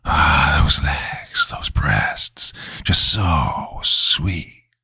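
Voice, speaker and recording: A man's voice: very deep voice, sing-song, drawn-out words, gravelly, bright, forceful tone, breathy edge, dry recording, pitched below the performer's own voice, heavily acted. A male narrator performs a sadistic demon possessing a girl in a horror tale. The voice is guttural and whispery, swinging widely between growls and sing-song taunts, all captured close and dry. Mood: cruel, leering.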